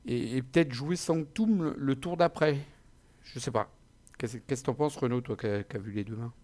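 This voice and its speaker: A man's voice: medium pitch, normal speed, darkish tone. A man hosting his own channel, chatting casually after a card game match, often stumbling over words. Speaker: player and channel host. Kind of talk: casual post-match chat.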